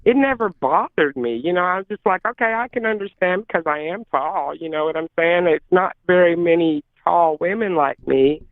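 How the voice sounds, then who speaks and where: A woman on a call, talking emphatically in casual chat and often repeating herself, with a lower-register voice. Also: normal speed, few pauses, dry recording. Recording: tinny phone line, dry.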